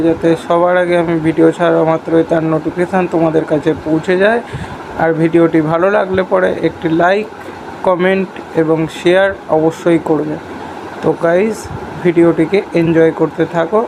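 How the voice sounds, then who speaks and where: A man hosts, speaking in a high voice with little movement in pitch. His introduction comes over clearly audible background noise. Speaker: host of a prank call channel. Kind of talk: scripted-sounding vlog introduction.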